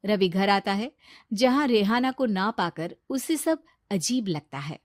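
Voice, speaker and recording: A woman's voice: mid-range voice, animated, unhurried, no echo, studio quality. A woman delivering scripted narration for a moral cartoon story.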